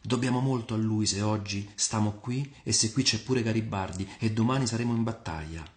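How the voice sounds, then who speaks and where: A man playing an earnest, sociable young Roman patriot in an audio storybook, speaking in a loud, friendly, animated way. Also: lowish voice, fairly quick, reverberant, natural voice.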